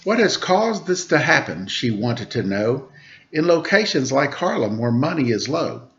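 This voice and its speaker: Male storyteller reading a rhyming kids' book, sing-song and animated on quotes; mid-pitched voice, slightly muffled recording. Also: a little slow. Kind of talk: rhyming picture-book read-aloud.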